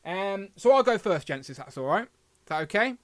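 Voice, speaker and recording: Host, male, lilt, slight lisp, high-pitched rambling chatter, dry close sound. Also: sing-song, fairly quick, no echo. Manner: chatty, rambling, self-deprecating. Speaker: live stream host. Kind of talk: casual live stream chat.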